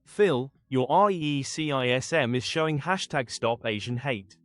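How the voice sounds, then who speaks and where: A man's voice: medium pitch, unhurried, no room sound. A man reading out short pieces of commentary.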